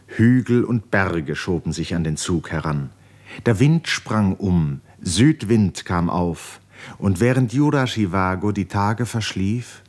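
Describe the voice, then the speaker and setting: An actor narrating an epic novel in a 1950s radio drama, grave, measured and solemn. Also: male voice, low voice. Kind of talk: literary narration in a 1950s German radio play.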